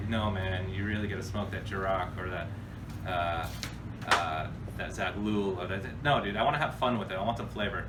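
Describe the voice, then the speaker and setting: Blunt man, distant noisy recording. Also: on the low side. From United States. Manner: blunt, informal, slangy. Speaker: host answering viewer questions. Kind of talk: casual off-the-cuff Q&A chat. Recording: distant mic with clear background noise.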